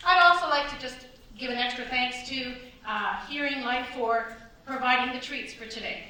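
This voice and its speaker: A woman's voice: high voice, moderate pace. A woman host making live announcements from a stage to an audience. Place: theatre stage before an audience. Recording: very echoey hall, distant, loud crowd, noisy.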